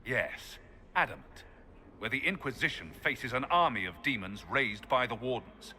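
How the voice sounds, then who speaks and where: Casting: a bold fantasy champion in a game, firm and earnest, piercing edge, animated delivery. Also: male voice, medium pitch, normal speed, a little hiss or hum. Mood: stern, accusing. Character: bold, defiant male fantasy champion, protector of mages. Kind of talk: dramatic video game acting.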